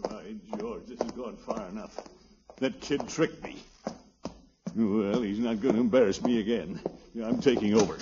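A man's voice: lowish voice, normal speed, close to an everyday voice. An actor playing a pompous, easily flustered middle-aged American man in a 1950s radio comedy, with theatrical blustering. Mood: indignant. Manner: theatrical, blustering, swooping exclamations. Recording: muffled, noisy old radio recording.

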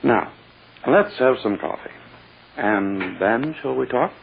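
An actor playing a smug, courting prosecutor with an unctuous, self-important, drawn-out manner in 1940s radio style. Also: male voice, mid-range voice, lively intonation, creaky. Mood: smug, coaxing. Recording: muffled, hissy old radio recording.

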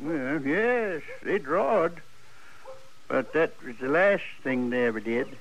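Folksy old frontier storyteller, swooping voice. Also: male voice, a little high, expressive, slow pace, vocal fry, exaggerated voice. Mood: animated, boastful. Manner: folksy, rambling frontier speech. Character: talkative old Western man, nostalgic gunfighter storyteller. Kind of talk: 1950s radio western acting. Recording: noisy old radio recording.

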